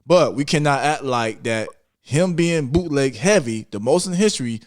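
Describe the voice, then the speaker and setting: A man's voice: mid-range voice, animated, normal speed, dry recording, studio quality. Insistent American man debating music casually. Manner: insistent, repeats points for emphasis. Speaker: podcast host.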